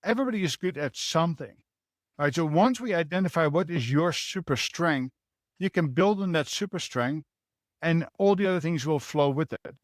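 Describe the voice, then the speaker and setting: Dutch guy on a podcast, a bit high voice, explains stuff earnestly, dry sound. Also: expressive, moderate pace, no echo. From Netherlands. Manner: earnest, explanatory, uses rhetorical "right?". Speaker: guest, leadership mentor. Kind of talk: podcast interview answers.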